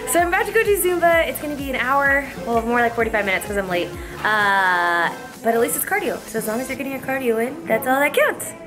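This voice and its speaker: A woman's voice: on the high side, sing-song, fast talker, music in the background. Bubbly young woman vlogging in a kitchen. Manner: bubbly, teasing, explains in a chatty way. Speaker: fitness vlogger. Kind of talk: casual vlog chat and meal-prep tips. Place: home kitchen, indoors.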